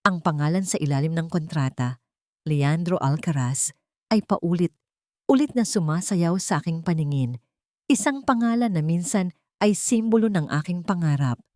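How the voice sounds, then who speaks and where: Woman storyteller, lowish voice, sad dramatic narration, very dry close sound. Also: expressive, normal speed, darkish tone, no echo. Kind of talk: scripted dramatic first-person narration.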